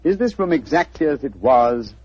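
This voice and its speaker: A brilliant, cool-headed detective, giving orders in crisp, clipped phrases. His mid-pitched voice is husky. It comes through a dull old radio broadcast. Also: sing-song, moderate pace, a little mellow, no room sound. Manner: crisp, commanding, clipped British diction. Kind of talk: 1940s radio acting. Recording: lo-fi 1940s radio recording.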